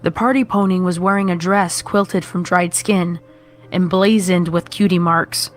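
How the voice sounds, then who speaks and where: A woman's voice: fairly low pitch, steady tone, a little slow, soft background music. A woman narrator reading a scripted horror story.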